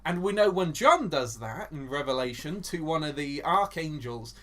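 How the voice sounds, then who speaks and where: Enthusiastic English male co-host, slightly high voice, rambling church-history chat. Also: very animated, moderate pace, a bit bright. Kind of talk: casual Bible teaching chat.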